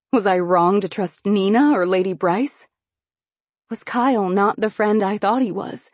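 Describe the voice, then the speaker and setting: A woman narrating a first-person novel in a measured storytelling manner. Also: medium pitch, a little mellow, slightly rough, no echo. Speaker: audiobook narrator.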